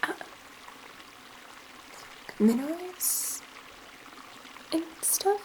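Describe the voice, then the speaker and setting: A woman playing a gentle, curious alien scientist in an ASMR sci-fi roleplay, speaking in a soft, breathy whisper with a hesitant, soothing manner. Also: extremely high pitch, animated, extremely slow, slight background noise, full character voice, rough recording. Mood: confused, hesitant.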